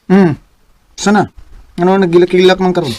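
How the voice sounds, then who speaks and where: A man's voice: high voice, steady tone, moderate pace, warm tone, soft background music, lightly acted. An actor playing a concerned male friend in a radio drama, questioning and probing. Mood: curious. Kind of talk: Bisaya radio drama acting.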